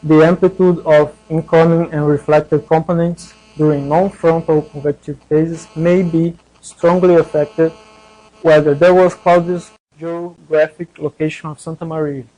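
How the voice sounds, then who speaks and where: A man giving a scientific presentation in a hesitant, halting way. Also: fairly high pitch, little pitch movement, dark tone, poor audio. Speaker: conference presenter.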